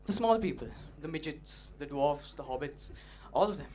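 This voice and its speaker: Young man, stand-up comedian, fairly high voice, teasing, noisy live club recording. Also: moderate pace. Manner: punchy, animated, teasing the audience.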